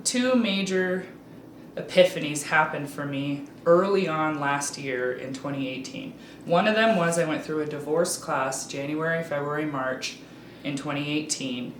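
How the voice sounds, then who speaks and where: A woman's voice: sits low, a little hiss or hum. A woman in her thirties from Alaska, a guest in a casual interview, talking candidly with frequent ums and restarts. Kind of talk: casual conversation. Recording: roomy echo, faint background ambience.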